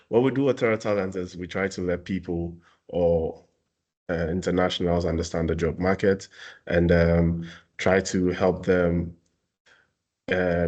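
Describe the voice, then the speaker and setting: A young man from Ghana with a very low voice walks viewers through services in a casual webinar. He hesitates often, filling gaps with ums and uhs as he explains. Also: flat delivery, measured pace, a little mellow, dull audio. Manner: hesitant, many fillers. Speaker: talent services staff presenting a webinar.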